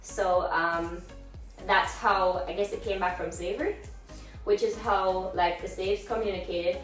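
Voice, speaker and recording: A young Jamaican woman vlogging in casual chat, lively and laughing, with Patois exclamations. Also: medium pitch, measured pace, soft background music. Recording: roomy echo from a distance.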